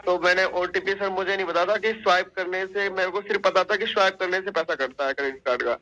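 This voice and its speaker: Male phone complainant, high and forceful voice, repeats himself haltingly, very respectful in address. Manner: deferential, repetitive, halting. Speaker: caller making a complaint. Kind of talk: phone complaint, recounting an account. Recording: recorded phone call.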